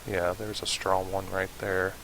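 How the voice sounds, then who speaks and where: A man narrating an unscripted software tutorial in an informal, hesitant way, as if thinking aloud. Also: sits low, little pitch movement, unhurried, low-quality recording. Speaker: tutorial presenter. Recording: hissy home voice-over recording.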